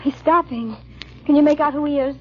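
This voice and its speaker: An actress playing a frightened but resolute wife on the run in an old-time radio drama, earnest and dramatic. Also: female voice, high-pitched, brisk, a little hiss or hum, natural voice. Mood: nervous. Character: frightened, resolute wife fleeing her murderous husband. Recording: muffled old radio recording.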